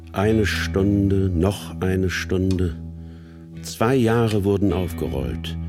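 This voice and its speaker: An actor narrating a radio play as a grave literary storyteller, measured, solemn and theatrical. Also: male voice, low-pitched, exaggerated voice. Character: grave, literary male narrator of a criminal's life.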